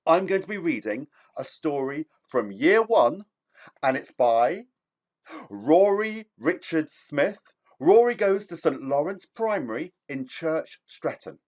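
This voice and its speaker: Expressive, unhurried children's storyteller, a man. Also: on the high side. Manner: enthusiastic, theatrical, emphatic delivery. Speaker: children's author reading a child's story aloud.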